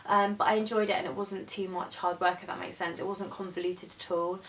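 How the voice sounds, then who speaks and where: A woman's voice: fairly even intonation, brisk, a bit bright. A young woman in her twenties, chatting casually and a little hesitantly as she reviews books she has read. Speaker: book vlogger. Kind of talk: casual book review chat. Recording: voice from a distance over faint rumble.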